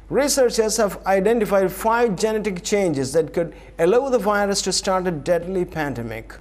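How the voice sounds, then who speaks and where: A man's voice: sits high, a little mellow. A man reading a scripted news bulletin in a formal announcer manner. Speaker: news reader.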